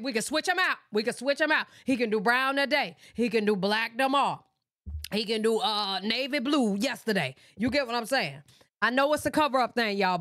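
A woman in her thirties hosting a livestream, reacting and talking straight to her viewers in a sassy, animated way. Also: on the high side, dry recording, studio quality. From Michigan. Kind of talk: casual livestream reaction commentary. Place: bedroom streaming setup with pink lights. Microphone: desk mic in front of her.

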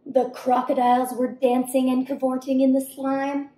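Young woman reading, high voice, roomy sound. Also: little pitch movement, normal speed, mellow. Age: young adult. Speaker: storybook reader. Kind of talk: expressive children's read-aloud in rhyme. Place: home kitchen-dining room, indoors. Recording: roomy indoor echo.

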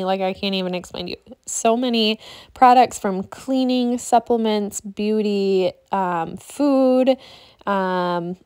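A woman's voice: medium pitch, darkish tone, smooth. A woman in her thirties chatting through a home cleaning vlog as a voice-over, loose and friendly, with frequent ums and restarts. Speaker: cleaning vlogger. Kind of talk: casual voice-over narration.

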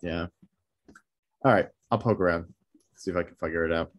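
A man with a deep, low voice talks over a video call from home. He thinks aloud, hesitating with fillers as he explains a problem. The delivery is casual and unhurried. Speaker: meetup attendee asking for help.

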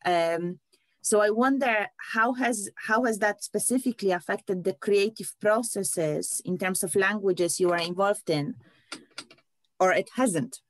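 A woman moderating an online panel over a video call, asking measured, reflective questions. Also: mid-range voice.

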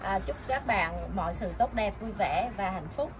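Casting: middle-aged woman, chatty, upbeat vlog promoter, recorded outdoors with clear background noise. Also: medium pitch, lively intonation, normal speed. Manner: chatty, enthusiastic. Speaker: vlogger announcing a community event. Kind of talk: casual promotional vlog talk. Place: outdoors at a building's glass entrance. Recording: noisy outdoor camera audio.

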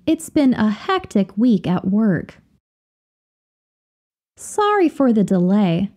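A woman reading example sentences slowly and carefully, repeating each phrase for learners to copy. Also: mid-range voice, big pitch swings, dark tone. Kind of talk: slow, clear drill reading of example phrases.

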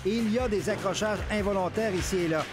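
Wry sports reporter, high voice. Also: male voice, quick pace, music in the background. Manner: wry, lightly teasing. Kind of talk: TV news report voice-over.

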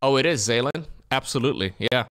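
Young man, animated intonation, casual stream chat, joking, home room. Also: mid-range voice, fast talker. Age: young adult. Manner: lively, joking, reads chat aloud. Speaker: streamer editing a video. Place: small room at home, indoors. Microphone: desk mic, wearing headphones, webcam framing.

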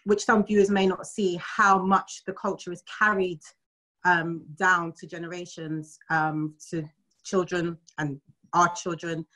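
A woman's voice: lowish voice, level tone, unhurried, bright, forceful tone, poor audio. A woman from London with an English accent, chatting personally about her upbringing in a video-call interview.

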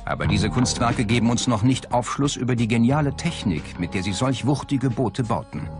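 A man reading scripted documentary narration in a measured, factual manner. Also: mid-range voice. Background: background music.

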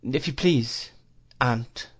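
A man's voice: mid-range voice, drawn-out words, slightly rough. A man reading a storybook and voicing a ragged runaway boy who pleads earnestly, close to tears. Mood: timid.

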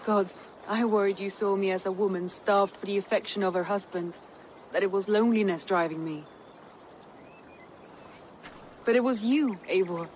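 A woman playing a composed, tender Viking noblewoman in a video game, speaking in measured, earnest, formal phrases. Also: mid-range voice, darkish tone, slight background noise, close to an everyday voice. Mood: tender, sincere. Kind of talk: dramatic video game cutscene acting.

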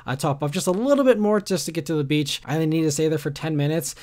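A man's voice: fairly high pitch, quick pace, darkish tone. A man narrating his road trip in a casual vlog voice-over, rambling with lots of "you know" and "uh" fillers. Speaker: car reviewer.

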